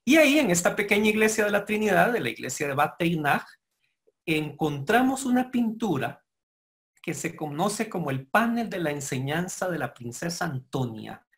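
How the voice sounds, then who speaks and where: A man giving a formal lecture to members, speaking in a measured, explanatory way with pauses and self-corrections. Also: sits high, very animated, a bit bright. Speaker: guest lecturer.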